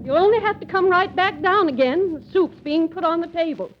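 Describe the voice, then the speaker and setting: An actress playing a flustered middle-aged wife, pleading and insistent, in 1930s radio acting style. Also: female voice, very high-pitched, fairly quick, heavy background noise. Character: flustered middle-aged wife, plaintive yet stubbornly illogical. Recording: dull 1930s radio recording.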